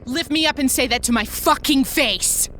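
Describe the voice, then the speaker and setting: A woman's voice: sits high, moderate pace, a bit bright, a little hiss or hum. A woman playing a blunt, defiant, combative office rebel in an audio drama. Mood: furious, defiant.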